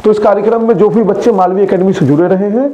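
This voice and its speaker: A man's voice: sits high, quick pace, reverberant, muffled recording. A young man, a coaching teacher, chatting casually to his students and speaking to them directly, filmed indoors in front of a screen.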